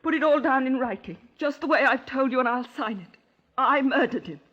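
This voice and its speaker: An actress playing a distraught, self-pitying middle-aged wife making a halting, insistent confession in a 1950s radio crime drama. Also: female voice, high-pitched, a bit bright. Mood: agitated, defiant. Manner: halting, brooding, insistent, with rhetorical tag questions. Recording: muffled, lo-fi 1950s radio recording.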